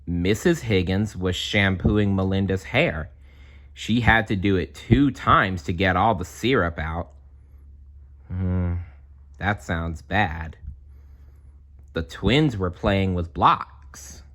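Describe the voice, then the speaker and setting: A man's voice: deep voice, slow pace, a bit bright. A man reading a children's story aloud expressively, with casual asides and simple explanations. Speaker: storybook reader.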